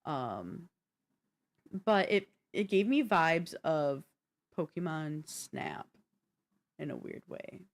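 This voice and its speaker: Lower-voiced woman chatting casually. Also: sing-song. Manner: halting, uses "like" often. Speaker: show co-host. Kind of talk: casual chat.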